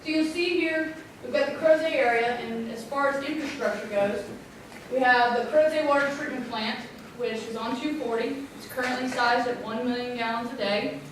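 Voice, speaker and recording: A woman with a loud, clear voice presenting a water infrastructure plan at a public community meeting. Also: fairly high pitch, moderate pace. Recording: echoey room, distant mic, background noise.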